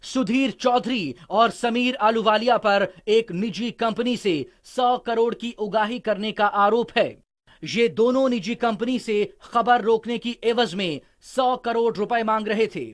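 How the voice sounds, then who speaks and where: A man's voice: high-pitched, unhurried. A man reading a scripted voice-over for a television news report.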